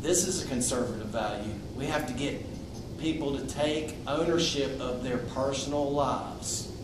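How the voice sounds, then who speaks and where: Loud outspoken man, echoey room. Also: medium pitch, moderate pace, distant mic. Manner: loud, outspoken, with frequent restarts. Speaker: invited speaker at a public meeting. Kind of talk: impromptu public address. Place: public meeting room. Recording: echoey room recorded from afar, noisy.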